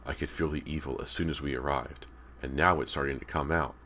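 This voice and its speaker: A man's voice: extremely low pitch, lively intonation, a little fast, a bit bright, slight background noise. Man as an irritable, melodramatic young girl. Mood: hushed, spooked.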